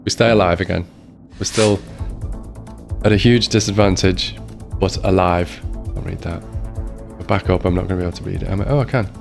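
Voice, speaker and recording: A man's voice: low-pitched, fairly even intonation, brisk, creaky, soft background music. A man talking through a geography game, muttering his thoughts, his voice strained as if a cold is coming on. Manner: muttering thoughts aloud while searching. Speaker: streamer playing a geography game. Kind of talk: casual gameplay commentary.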